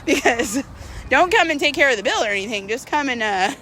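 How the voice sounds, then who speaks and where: High-pitched woman telling a casual personal story. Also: animated, brisk, pushed and bright, a little hiss or hum. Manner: conversational, slangy, filler-heavy "like". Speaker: storyteller vlogger.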